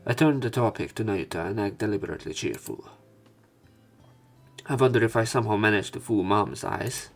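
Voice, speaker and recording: A man reading visual novel text aloud as a let's play narrator, in a somewhat halting manner. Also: fairly low pitch, vocal fry. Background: game music.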